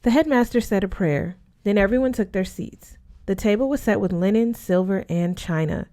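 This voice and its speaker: A woman's voice: mid-range voice, moderate pace, mellow. A woman narrating a first-person story as an audiobook reader.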